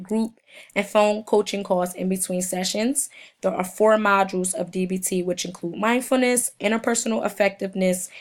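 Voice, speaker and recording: A young woman speaking in an ordinary middle register, her voice hoarse and a little sniffly from a cold. She reads a class presentation from prepared notes and stumbles now and then. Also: medium pitch. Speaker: student presenter.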